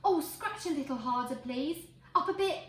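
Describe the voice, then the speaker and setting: A woman's voice: high-pitched, expressive, moderate pace, a bit bright. A woman voicing a polite, blissfully pleased pig from a storybook, with gushing delight. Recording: roomy echo, faint background noise.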